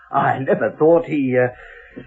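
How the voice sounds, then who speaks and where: An actor playing a bold, excitable husband in an old radio drama, speaking in exclamatory, polite old-fashioned phrases on a narrow-band recording. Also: male voice, medium pitch, extremely slow, gravelly, breathy edge, heavily acted. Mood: wry. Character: excitable, bold young husband confronting a ghost.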